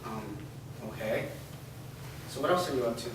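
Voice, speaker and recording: A man's voice: mid-range voice, expressive, moderate pace, close to an everyday voice. A man reads a casual, reassuring young man in a mid-range voice. He is picked up from far off in a very echoey room, and hiss runs under the recording. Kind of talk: staged play reading.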